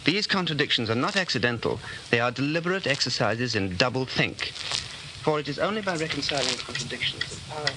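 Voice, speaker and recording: An actor playing an earnest, subdued rebel against a regime, speaking seriously in a 1940s radio drama. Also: male voice, medium pitch, animated, a little fast, natural voice. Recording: noisy 1940s radio recording.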